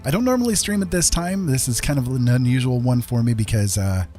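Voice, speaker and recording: A man's voice: mid-range voice, lively intonation, fairly quick, a little mellow. A man hosting a casual game livestream, rambling and thinking aloud as he chats with viewers. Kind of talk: casual livestream chat while playing. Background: game music.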